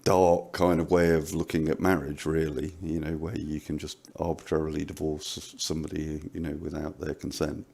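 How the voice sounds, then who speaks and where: A man with an extremely deep voice and plenty of vocal fry. He talks as a panelist in a casual discussion, halting and restarting as he reasons through his points. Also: flat delivery, darkish tone. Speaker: panelist on a commentary show.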